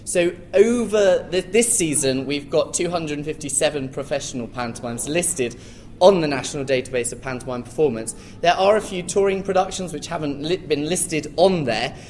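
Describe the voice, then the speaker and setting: A man with a light voice gives an informed rundown as a researcher on a discussion panel. He talks in measured factual points, and the live recording carries some background noise. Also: on the high side, a little mellow. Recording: noisy live room recording.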